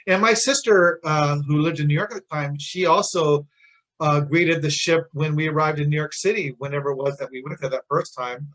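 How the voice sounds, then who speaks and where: Chatty man in his sixties, higher-pitched voice. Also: a bit bright, low-quality recording. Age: 60s. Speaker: interviewer, Navy veteran. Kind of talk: casual conversational interview.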